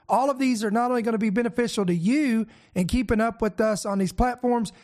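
A man hosting and pastoring a news commentary show, his voice raspy and congested from a head cold, talking in an energetic, emphatic way. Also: high voice. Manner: energetic, emphatic preacher-style delivery with fillers. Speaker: host and pastor.

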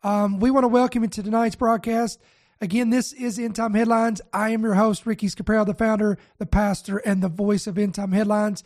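A male pastor hosting a teaching broadcast, raspy and nasal with a head cold, talking casually and straight to his viewers. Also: sits high, fairly quick. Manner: relaxed, speaks straight to viewers. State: congested from a virus.

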